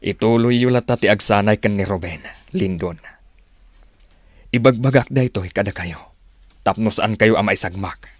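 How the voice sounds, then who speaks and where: An actor with a Filipino accent playing a stern, paternal rebel leader in a radio drama. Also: male voice, fairly low pitch, normal speed, dry recording, lightly acted.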